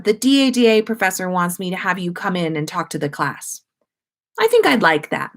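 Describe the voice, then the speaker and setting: A woman plays an excited young schoolgirl in a storybook reading. She sounds chatty and keen, sharing her news in a lightly lifted, unhurried voice. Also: mid-range voice, natural voice.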